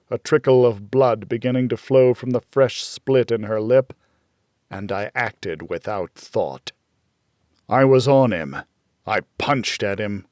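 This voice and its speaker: A man reading first-person fiction as an audiobook narrator, in a measured, dramatic storytelling manner. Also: on the low side, a little mellow, no echo, clean studio sound.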